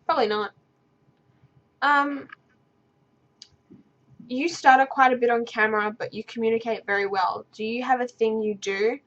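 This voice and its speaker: A woman's voice: on the high side, fairly even intonation, normal speed, pauses often, fairly bright tone. Stuttering young woman vlogger, dull audio. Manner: stutters and restarts, rambling and easily sidetracked. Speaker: vlogger answering viewer questions. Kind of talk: casual unscripted talk to camera. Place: her bedroom.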